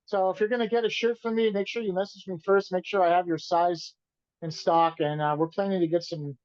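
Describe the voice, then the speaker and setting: A man, the band's singer and guitarist, answering interview questions in a relaxed, rambling way and often repeating himself. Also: high voice, a little fast, highs cut off. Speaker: band vocalist and guitarist being interviewed.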